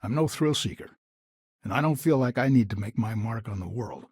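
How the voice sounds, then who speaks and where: A gravelly, stubborn old frontier patriarch. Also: male voice, on the low side, lively intonation, brisk, a little mellow, no echo, studio quality, full character voice. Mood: proud. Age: older. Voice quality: gravelly, husky. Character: proud, stubborn retired patriarch of a frontier founding family. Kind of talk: video game character dialogue.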